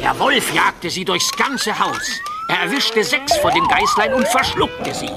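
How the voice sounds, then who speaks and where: An actor playing a fussy, theatrical evil sorcerer in an audio drama. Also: male voice, very high voice, wildly swooping pitch, unhurried, over loud music, heavily acted. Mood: gleeful storytelling.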